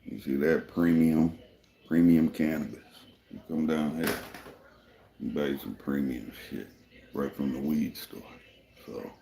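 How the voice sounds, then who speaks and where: A man from Michigan hosting a casual livestream, with a deep gravelly voice people call a radio voice, talking in a laid-back, rambling way. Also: steady tone, slow, pauses often, mellow, roomy sound, slight background noise. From Michigan, USA. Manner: laid-back and rambling, many "you know" fillers. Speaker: livestream host. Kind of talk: casual livestream chat answering viewers.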